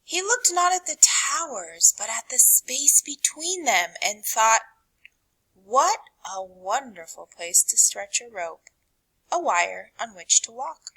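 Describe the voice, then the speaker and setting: A woman reading a picture book aloud to children, with dramatic, deliberate phrasing that drops to a hush and rises for emphasis. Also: on the high side, big pitch swings, slightly rough, rough recording. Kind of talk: expressive read-aloud narration for children.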